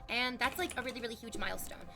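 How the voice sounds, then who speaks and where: A woman's voice: fairly high pitch, fast, vocal fry, over music. A woman in her thirties, a streamer chatting casually with her viewers, rambling frankly with lots of "like". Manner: rambling, frank, heavy use of "like". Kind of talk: casual chat with live chat.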